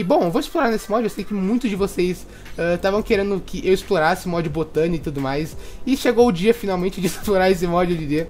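A young Brazilian man chatting excitably through his gameplay commentary and tossing in tag questions constantly. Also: sits high. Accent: Brazilian Portuguese. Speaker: gaming video host.

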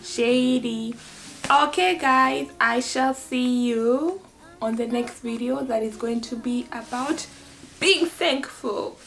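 Young woman, higher pitch, playful chat, laughs a lot, small bedroom, faint music. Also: slow pace, creaky, a bit bright. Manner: playful, laughs often, teaching Swahili phrases. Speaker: vlogger host. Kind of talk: casual chat. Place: bedroom, indoors.

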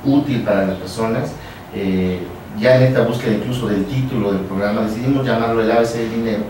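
A man with a Mexican accent hosting a casual radio conversation, explaining things in a halting way and repeating his phrases. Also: on the low side. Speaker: radio show host. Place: small radio studio, indoors. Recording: echoey room, distant, background noise.

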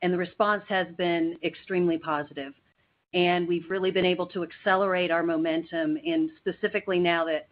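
A woman company executive giving formal, measured, somewhat halting answers on an earnings call. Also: lowish voice. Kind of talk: formal business question-and-answer. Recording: tinny narrow phone line.

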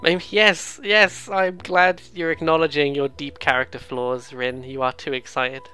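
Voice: mid-range voice, flat delivery, measured pace, sharp tone, clear, resonant tone, soft background music. A let's play host giving casual, rambling, playful commentary on a video game. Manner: rambling, playful, mimics sounds and game lines.

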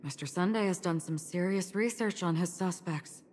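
A woman's voice: lowish voice, moderate pace, darkish tone, a little hiss or hum, lightly acted. An actress playing a cool, melancholy female wanderer in a video game, pensive and reserved. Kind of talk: voiced video game dialogue. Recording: reverberant game audio with music.

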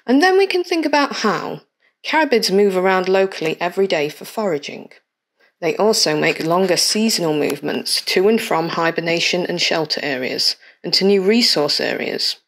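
A woman reading a scripted educational voice-over in a clear, measured, explanatory way. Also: on the low side, a bit bright. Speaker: science explainer narrator.

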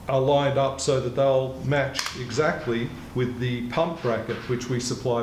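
A man in his sixties giving a measured, methodical product demonstration as a kayak retailer, speaking in an indoor shop. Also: mid-range voice, darkish tone. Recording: echoey room with clear background noise.

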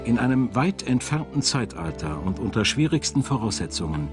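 A man's voice: medium pitch, big pitch swings, moderate pace. A man reading scripted narration for a documentary as its narrator. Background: music behind the voice-over.

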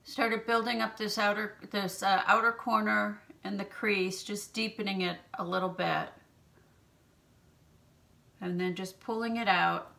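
Bright-voiced woman doing a makeup tutorial. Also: mid-range voice, fairly even intonation, unhurried, vocal fry. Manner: gentle, chatty step-by-step explaining with fillers. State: allergies, clearing throat. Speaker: beauty channel host. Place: small home room with shelves, indoors.

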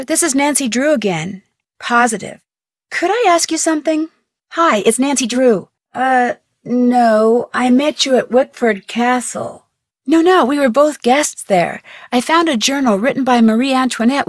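A woman's voice: on the high side, no room sound, clean studio sound. An actress voicing a curious, determined young female amateur detective in a video game, asking polite, probing questions in an upbeat manner. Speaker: game character voice. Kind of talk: voiced game dialogue. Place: recording studio.

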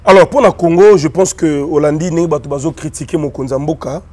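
Homme qui anime l'échange par des questions courtes, en mêlant le français et le lingala. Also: male voice, on the high side, brisk, a little mellow. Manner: short prompts and questions, mixes French and Lingala. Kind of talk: talk show conversation.

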